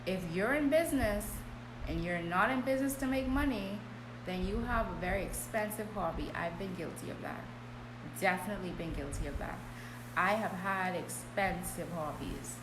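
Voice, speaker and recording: A woman's voice: mid-range voice, moderate pace. A woman hosting a live business coaching stream, talking casually and often checking in with "okay?". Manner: chatty, frequent "um" and "okay?" check-ins. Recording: echoey room with clear background hum.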